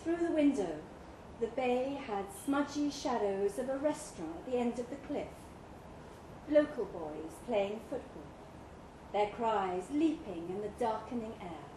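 High-voiced woman reading fiction slowly. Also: animated. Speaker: story reader. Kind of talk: scripted literary narration, read live. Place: indoor live reading event in a pub. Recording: echoey pub room, noisy live recording.

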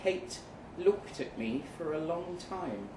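A man giving a measured, dramatic live reading of literary first-person fiction. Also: on the high side, animated. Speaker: story reader. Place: pub event room, live reading. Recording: roomy, distant live venue recording, faint noise.

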